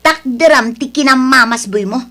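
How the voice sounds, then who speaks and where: A woman acting as a headstrong, scornful young mother in an audio drama, demanding and quick to scold. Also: high-pitched, pushed and bright.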